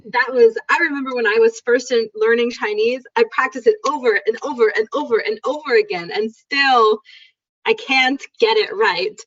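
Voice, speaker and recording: A woman's voice: fairly high pitch, fairly bright tone. Chatty woman teacher, lo-fi sound. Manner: chatty, explains with examples. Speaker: English teacher. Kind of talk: casual educational chat. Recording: dull lo-fi sound.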